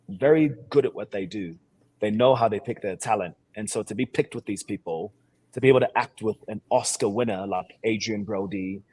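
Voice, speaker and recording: A man's voice: on the low side, darkish tone, slightly rough, low-quality recording. A man from Sierra Leone who grew up in Seattle, speaking with a put-on British accent as an actor being interviewed, confident, emphatic and boastful in slangy talk. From born in Sierra Leone, grew up in Seattle. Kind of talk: animated self-promotional interview talk.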